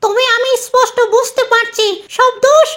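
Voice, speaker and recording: A woman's voice: very high voice, moderate pace, a bit bright. A woman voicing a wicked, power-hungry cartoon witch in a theatrical, scolding manner. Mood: angry wailing. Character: wicked female witch, greedy for power, vengeful. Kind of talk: cartoon fairy-tale voice acting.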